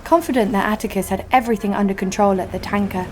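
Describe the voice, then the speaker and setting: A woman's voice: mid-range voice, fast, heavy background noise, natural voice. A woman as a brash, sarcastic female adventurer. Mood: wry narration. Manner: sardonic, cocky. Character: brash, sarcastic, foul-mouthed female adventurer narrating her exploits. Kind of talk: first-person audio drama narration and dialogue.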